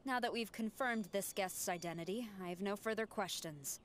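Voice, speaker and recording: A woman's voice: mid-range voice, normal speed, slight background noise, lightly acted. A woman voicing a stern female officer who speaks in a clipped, formal way.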